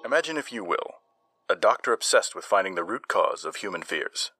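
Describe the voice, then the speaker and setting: A man acting an earnest American newscaster and host in old-time radio style, crisp and theatrical. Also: fairly low pitch, lively intonation, a little fast, loud music behind the voice, lightly acted. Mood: suspenseful. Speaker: newscaster and story narrator. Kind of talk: old-time radio news and suspense narration.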